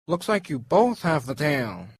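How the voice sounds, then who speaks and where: A man voicing a perky animated cookie who hosts a cartoon elimination show in a theatrical announcing manner. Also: on the high side, a little slow, husky, no echo, heavily acted. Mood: upbeat, suspenseful. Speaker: show host.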